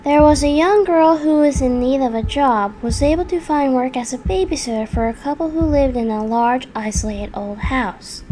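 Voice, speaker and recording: High-voiced woman narrating a scary story. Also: warm tone, rough recording. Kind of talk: scripted horror story narration. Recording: clearly audible background noise.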